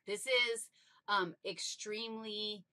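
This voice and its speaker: Bright, emphatic woman teaching casually. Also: mid-range voice, slow pace. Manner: animated, playful, emphatic. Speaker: anatomy teacher. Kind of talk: informal teaching explanation.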